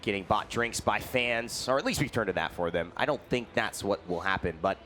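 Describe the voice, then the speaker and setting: Low-voiced male esports caster. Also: brisk, pushed and bright, a little hiss or hum. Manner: playful, banters and jokes between analysis. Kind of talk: live esports commentary. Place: esports expo hall arena.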